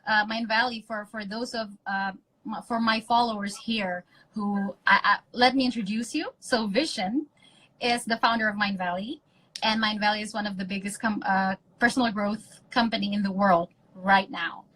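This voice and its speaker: An Indonesian-accented woman hosting a phone live stream, chatting casually and gushing with excitement. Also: medium pitch, normal speed, low-quality recording. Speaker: host of an Instagram live. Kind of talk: casual live-stream chat switching between two languages. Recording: phone live stream with faint ambient noise.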